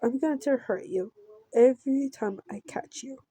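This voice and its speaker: A woman voicing a menacing, obsessive male killer from a horror visual novel, reading his threats haltingly. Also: sits high, dark tone, husky, no echo, voice pushed up. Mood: threatening.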